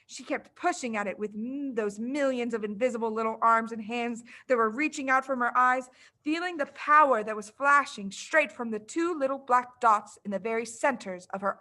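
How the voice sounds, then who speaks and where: A woman reading a children's storybook aloud as dramatic narration, with deliberate, suspenseful phrasing. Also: on the high side, bright, forceful tone. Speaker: audiobook reader.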